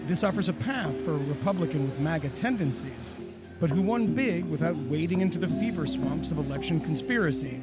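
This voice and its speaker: A man reading a wry, measured news narration. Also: a little high, lively intonation, over loud music.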